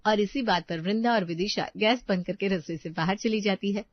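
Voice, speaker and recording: A woman's voice: mid-range voice, normal speed, highs cut off. A woman narrating a scripted story as a storyteller.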